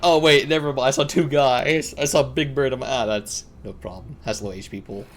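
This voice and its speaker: A man's voice: mid-range voice, moderate pace, noisy background. A man livestreaming a game with casual, offhand commentary. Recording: game music behind the voice. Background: video game music.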